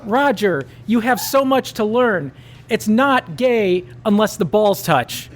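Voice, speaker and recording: A man's voice: sits high, lively intonation, takes it slowly, noisy background, voice pushed up. A man voicing an earnest, preachy teenage vigilante hero. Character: self-righteous teenage boy masked hero.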